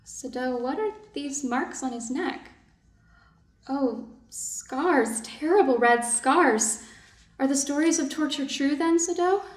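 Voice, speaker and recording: A woman's voice: high-pitched, slow. A woman playing an anxious, dutiful Japanese doctor's wife and mistress of servants in a staged play reading. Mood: anxious, squeamish. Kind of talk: staged readers' theater acting. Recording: echoey room, distant microphone.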